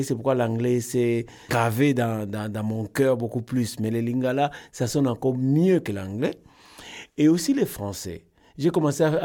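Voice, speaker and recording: Mellow-voiced Congolese man in conversation. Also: mid-range voice, gravelly. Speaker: singer, interview guest. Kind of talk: casual interview, storytelling.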